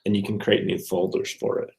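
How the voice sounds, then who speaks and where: A low-voiced man with a creaky, gravelly edge gives a casual walkthrough of code. He thinks aloud as he goes, sounding unsure and hesitant, like a student showing his work. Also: flat delivery, fairly quick, a little mellow.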